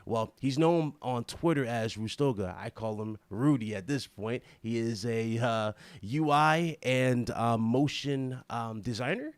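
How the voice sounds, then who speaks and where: A man's voice: mid-range voice, a little slow, few pauses, no room sound. A man hosting a live podcast interview, hyped and eager, often tripping over and repeating his words. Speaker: podcast host.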